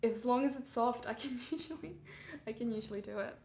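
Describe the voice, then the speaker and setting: A woman's voice: on the high side, brisk, vocal fry, warm tone, roomy sound. A young woman from England with an English and Canadian mixed accent, chatting casually and giggling on a music livestream, her voice a little under the weather. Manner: chatty, giggly, lots of fillers. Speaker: livestreaming singer-songwriter.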